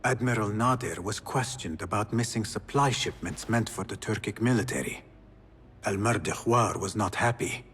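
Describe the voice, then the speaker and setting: A sober game operative with a somewhat low, husky voice. He is matter-of-fact, and long reverb trails his speech. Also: normal speed, a bit bright.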